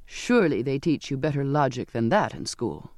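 A man's voice: mid-range voice, sing-song, normal speed, darkish tone, dry recording, clean studio sound, pitched below the performer's own voice, lightly acted. A man voicing a cruel, commanding ship's captain in an audiobook, imperious and scornful in manner. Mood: derisive. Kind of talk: dramatised audiobook dialogue.

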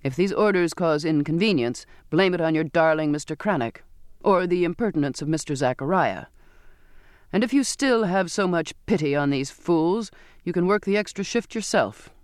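A man's voice: a little high, animated, moderate pace, clean tone, no room sound, natural voice. A harsh sea captain, as a male narrator plays him in a storybook reading. He is domineering and sardonic, barking out orders in a dry, close recording. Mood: stern, sardonic. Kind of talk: audiobook character voice.

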